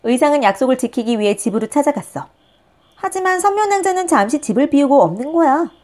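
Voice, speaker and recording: A woman narrating a picture book for young listeners in an expressive, animated way. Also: high-pitched, quick pace. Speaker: storyteller reading a picture book. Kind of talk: expressive children's storybook narration.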